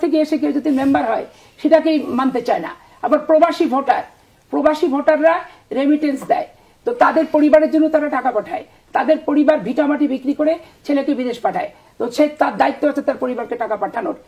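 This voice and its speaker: A woman's voice: high-pitched, fairly quick, vocal fry, mellow, rough recording. A middle-aged woman from Bangladesh speaking as a politician, arguing combatively in a discussion with rhetorical questions.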